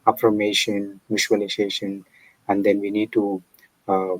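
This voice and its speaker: Guy explaining stuff methodically, fairly deep, very even tone. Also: normal speed, dry recording, rough recording. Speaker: panel guest. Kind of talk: explanatory chat.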